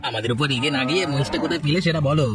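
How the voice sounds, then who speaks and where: A man's voice: a little high, racing, over loud music, close to an everyday voice. A man voicing a spiteful, arrogant village bully in a cartoon, taunting and boastful. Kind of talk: Bengali cartoon story dialogue.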